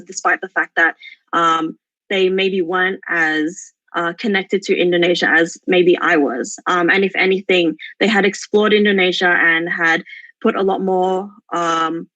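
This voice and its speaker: A woman in her twenties with an Australian accent, giving a semi-prepared talk at an online forum, pausing often mid-phrase as she searches for words. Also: lowish voice, a bit bright, dry recording. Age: 20s. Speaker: forum speaker, exchange program alumna. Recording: dull, lo-fi online call audio.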